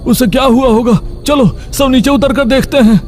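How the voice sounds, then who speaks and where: A man voices an alarmed watchman in a cartoon ghost tale. The voice sits extremely high for a man, with music clearly playing behind it. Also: normal speed, a little mellow. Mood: alarmed, worried. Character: alarmed male watchman on a lookout. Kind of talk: cartoon horror story dialogue.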